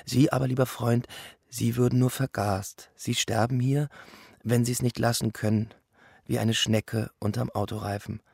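A husky, creaky cynic as a camp doctor in a radio drama. He stays calm and almost respectable while he mocks, each phrase measured and composed. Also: mid-range voice, no room sound, studio quality. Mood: calm mockery. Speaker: actor and reader in a radio play. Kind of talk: scripted dramatic reading.

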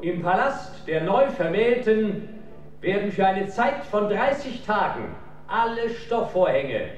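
Solemn imperial court official, high voice, formal radio drama proclaiming, roomy and far off, loud music behind. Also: male voice, sing-song, slow pace, heavily acted. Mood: solemn, ceremonial. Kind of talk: ceremonial radio drama recitation.

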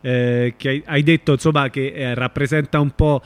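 A man's voice: medium pitch, fairly even intonation, normal speed, clear, resonant tone. A man hosting an informal radio interview, phrasing his questions hesitantly.

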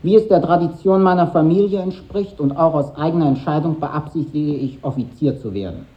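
Man acting an earnest, higher-voiced schoolboy. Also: a little fast, lightly acted. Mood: solemn, proud. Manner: formal reading aloud. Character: earnest, proud upper-class schoolboy aspiring to soldiering. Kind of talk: 1950s German radio drama acting. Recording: muffled old radio recording.

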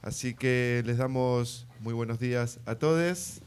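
A man's voice: mid-range voice, measured pace, a little mellow, smooth. A man with an Argentine Spanish accent hosting a live radio interview.

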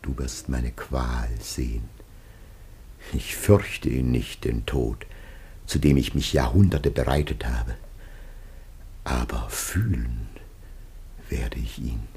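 A man's voice: a bass voice, slow pace, creaky, pauses often, mellow, a little hiss or hum, full character voice. An actor playing an ancient dying father, solemn, anguished and tender, in a declamatory classic German radio play. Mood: grave dread.

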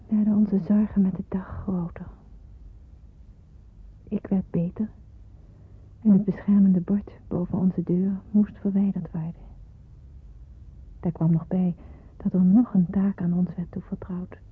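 Muffled old radio play, fearful girl in hiding, female, very husky, solemn, hushed. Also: medium pitch, level tone, measured pace, pauses often. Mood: sombre, sorrowful. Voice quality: breathy, husky. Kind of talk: dramatic radio narration. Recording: muffled narrow-band radio recording.

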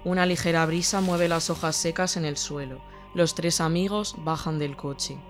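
Higher-pitched man narrating horror fiction. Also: steady tone, normal speed, loud music behind the voice. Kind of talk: scripted horror story narration.

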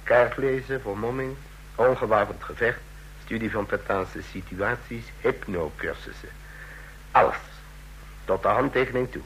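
An actor playing the curt, authoritative chief of a fascist secret police in a vintage radio play. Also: male voice, fairly low pitch, measured pace, a bit bright, poor audio. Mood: cool, authoritative. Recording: old radio recording, hum and hiss.

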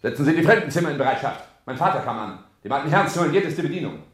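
An actor in a 1960s German radio drama playing a pompous, anxious social climber, agitated and scheming, in theatrical declamation. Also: male voice, fairly high pitch, expressive, fast talker, breathy edge. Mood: frantic commanding.

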